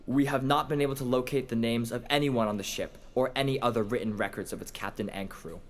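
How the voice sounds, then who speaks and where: A man playing a formal investigator who lectures in a measured, expository way in an audio drama. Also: mid-range voice, fairly even intonation, natural voice. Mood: measured, intrigued. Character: formal investigator lecturing on a mysterious lost ship. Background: faint music.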